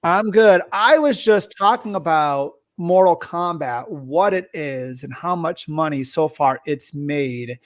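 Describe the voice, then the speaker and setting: Higher-pitched male podcast host, dry sound. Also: slow pace, few pauses, no room sound. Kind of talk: casual hosting, partly reading notes.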